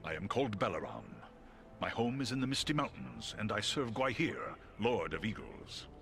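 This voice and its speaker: An actor voicing a noble, courteous great eagle in a fantasy game, with formal, dignified speech. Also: male voice, lowish voice, very animated, moderate pace, gravelly, fairly bright tone, soft background music, heavily acted. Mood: grateful dignity.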